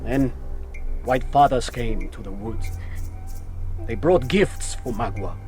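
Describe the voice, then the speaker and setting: An actor playing an embittered, proud Huron warrior chief in a radio drama, speaking in a solemn, declamatory way. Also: male voice, medium pitch, sing-song, slow, darkish tone, faint music behind. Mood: solemn, sorrowful. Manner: solemn, declamatory, speaks of himself in third person.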